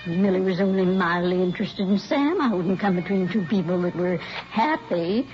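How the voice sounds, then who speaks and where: An older American woman playing a chatty, nostalgic elderly mother, gently rambling in 1950s radio drama style. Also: on the low side, expressive, normal speed, slight background noise, natural voice. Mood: fond, chatty. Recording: muffled vintage radio recording, faint music.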